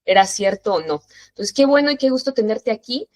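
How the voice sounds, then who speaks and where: A woman's voice: on the high side, lively intonation, fairly quick, dry recording. Friendly woman hosting a parenting show. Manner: warm, informal, welcoming. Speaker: host.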